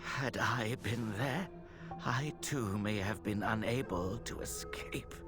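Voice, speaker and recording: A man playing a zealous, brooding temple scholar in a fantasy video game, speaking in measured, theatrical, formal phrases. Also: fairly low pitch, over music. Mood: brooding, grave. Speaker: video game character actor. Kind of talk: dramatic fantasy game dialogue.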